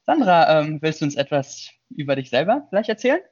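A man's voice: on the high side, sing-song, a little fast, a little mellow, dry recording. A man hosting a casual student podcast, introducing guests and asking questions, on a slightly muffled remote recording. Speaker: podcast host.

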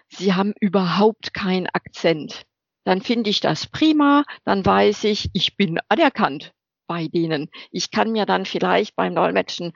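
A woman working as an interpreter, answering interview questions in a lively, emphatic way and laughing often. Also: medium pitch, gravelly, dry recording. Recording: dull lo-fi recording.

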